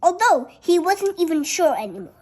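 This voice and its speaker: High voice, animated pitch, storybook read-aloud, halting delivery, childlike casual asides. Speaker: storyteller reading a picture book.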